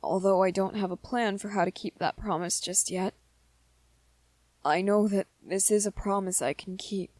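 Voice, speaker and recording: A woman voicing a gentle, lovestruck young vampire man in a romantic ASMR roleplay, earnest and hesitant, with small stammers. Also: medium pitch, steady tone, moderate pace, no room sound, lightly acted. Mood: earnest, reassuring.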